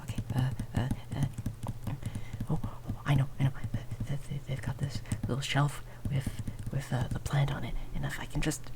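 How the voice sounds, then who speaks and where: A woman voicing a tiny timid mouse person in an improvised ASMR roleplay, soft and breathy, stammering nervously and often slipping into a whisper. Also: very deep voice, level tone. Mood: panicky. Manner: nervous stammering, halting and repeating words. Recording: faint background noise with rain ambience. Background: rain sounds.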